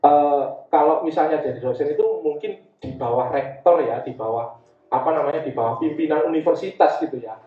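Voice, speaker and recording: Echoey, distant, higher-voiced East Javanese man. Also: brisk. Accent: Javanese Indonesian, East Java. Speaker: guest speaker, community education founder. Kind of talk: informal talk with personal anecdotes. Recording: dull, echoey, distant from the mic.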